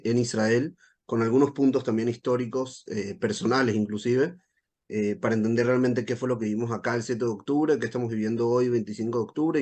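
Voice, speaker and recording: A man in his thirties from Venezuela with a Venezuelan accent, speaking as a guest to an audience in a measured way, with frequent hesitation sounds. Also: medium pitch, a little mellow. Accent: Venezuelan Spanish. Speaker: guest speaker, military spokesperson. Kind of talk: talk to a live audience.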